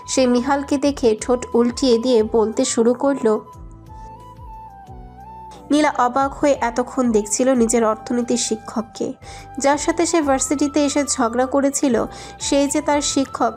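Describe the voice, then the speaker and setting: A woman's voice: high voice, darkish tone. A woman narrating a scripted romantic story.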